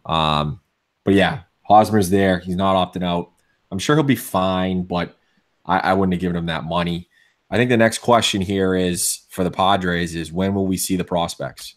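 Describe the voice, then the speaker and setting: A man with a Boston accent co-hosting a casual sports podcast, opinionated and full of restarts, with a voice going hoarse. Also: sits low, fairly even intonation, moderate pace. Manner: opinionated, many restarts and fillers. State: losing his voice. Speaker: podcast co-host.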